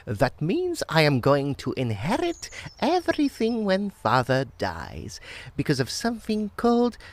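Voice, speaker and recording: A woman voicing a naive, simple-minded young man who rambles earnestly and bursts into excited outbursts, in an old-time radio drama. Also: deep voice, a little slow, heavily acted. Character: naive, simple-minded young male suitor, cheerful and eager.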